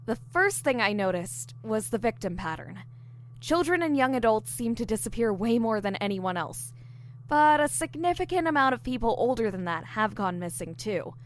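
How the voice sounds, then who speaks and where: A woman's voice: a little high, very animated, normal speed, slight background noise. A woman playing a friendly, earnest young investigator in an audio drama. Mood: earnest, focused. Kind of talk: audio fiction acting.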